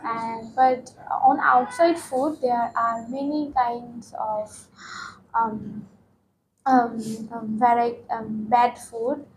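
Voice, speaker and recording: A teenage woman from Bangladesh, answering exam questions slowly with many ums and pauses. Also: fairly high pitch, steady tone, a little hiss or hum, low-quality recording. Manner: hesitant, frequent ums and pauses. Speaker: test candidate. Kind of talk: mock speaking exam answers.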